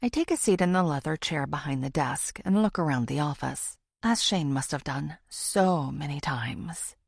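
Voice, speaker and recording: A determined amateur female investigator thinks her way through a thriller audiobook. Her voice is slightly low with a creaky edge, moving expressively through a wide range as she reflects. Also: moderate pace, dry recording, clean studio sound, full character voice. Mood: pensive, determined. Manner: expressive, introspective. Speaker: audiobook narrator. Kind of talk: first-person thriller audiobook narration.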